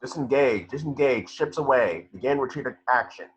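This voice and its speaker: A man's voice: mid-range voice, animated, normal speed, lightly acted. A man playing a terse military officer giving orders in a film script table read. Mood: commanding. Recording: noisy, lo-fi home call audio.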